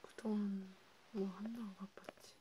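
A woman chatting casually in a breathy whisper for ASMR, murmuring haltingly with pauses. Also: mid-range voice, flat delivery, mellow. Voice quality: breathy, mostly unvoiced whisper. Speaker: ASMR creator. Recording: noticeable background noise and ambience.

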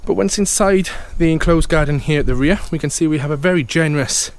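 A man's voice: fairly high pitch, fast talker. A man, an estate agent, giving an upbeat walkthrough tour of a house, full of sales patter and repeated "okay" and "fantastic".

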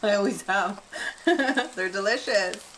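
A woman in her thirties hosting a casual cooking demonstration in a bright home kitchen, chatty and upbeat, laughing often. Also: mid-range voice, slow, a little hiss or hum. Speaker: cooking show host.

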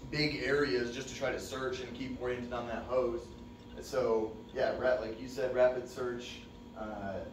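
A man's voice: mid-range voice, noisy background. Far-off male instructor in echoey room. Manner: relaxed, conversational, many "uh" fillers. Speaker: fire service instructor. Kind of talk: classroom training presentation. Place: dim training room with projector screen. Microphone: far camera. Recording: echoey room, distant mic.

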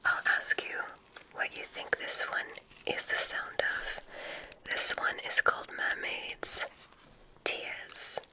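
A woman playing a gentle witch examiner in an ASMR roleplay, whispering in a soothing, deliberate, mysterious way. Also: natural voice, low-quality recording. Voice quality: breathy, unvoiced whisper.

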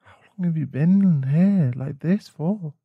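A man's voice: on the high side, a little slow, gravelly, slightly rough, dry recording, phone-quality sound, exaggerated voice. A man playing a protective, tender werewolf boyfriend in an ASMR roleplay, speaking in a soothing, reassuring manner. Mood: soothing, worried.

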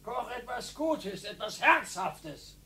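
An actor playing a grumpy, bored older retired husband who grumbles and pities himself, in a radio drama. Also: male voice, very high-pitched, expressive, takes it slowly, gravelly, sharp tone, slightly rough, heavily acted. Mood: peevish. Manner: grumbling, self-pitying, whiny.

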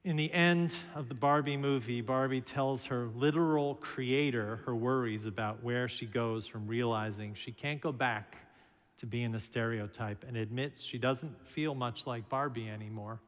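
A middle-aged man, a minister, preaching a sermon from the pulpit, telling stories in a slow and measured way. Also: mid-range voice. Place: large church sanctuary, at a pulpit. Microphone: pulpit microphone. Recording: reverberant church hall.

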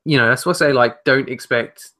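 A man's voice: mid-range voice, a little fast, sharp tone. A young man co-hosting a podcast, talking casually and carefully qualifying his points. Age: young adult. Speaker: podcast co-host. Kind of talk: casual discussion. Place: home room with shelves.

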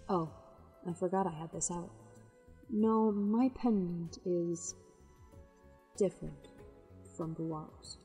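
A woman's voice: on the low side, slow pace, long pauses, warm tone. A woman playing a proud, grave demon warrior in an audio drama, explaining things solemnly. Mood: solemn, reflective. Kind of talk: dramatized webcomic audio play. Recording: long reverb with music behind.

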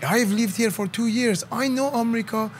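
A man's voice: extremely high pitch, moderate pace, faint music behind, pitched above the performer's own voice. A man voicing a pompous, misogynistic Persian immigrant with a Persian accent, broken English and a bossy manner in a live game roleplay. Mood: indignant. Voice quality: broken English, stiff formal phrasing. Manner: bossy, indignant.

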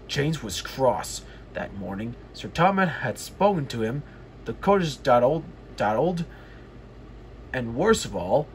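A man reading a children's story aloud, often haltingly, repeating and correcting phrases. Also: fairly high pitch, a little hiss or hum. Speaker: storybook reader.

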